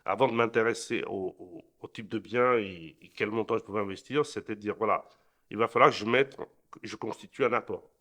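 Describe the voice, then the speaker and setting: A man's voice: fairly low pitch, a little fast, a bit bright. A middle-aged man from Portugal, answering interview questions earnestly, often repeating and restarting words. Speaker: interview guest, entrepreneur.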